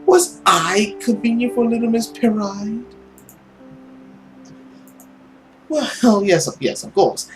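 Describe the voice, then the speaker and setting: A man voicing a smug, scornful nobleman villain in a visual novel, drawling and sarcastic with theatrical pauses. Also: sits high, soft background music. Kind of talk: visual novel character acting.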